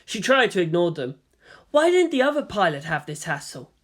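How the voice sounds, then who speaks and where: Animated man narrating a story. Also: high voice, normal speed. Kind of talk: expressive fan fiction audiobook narration.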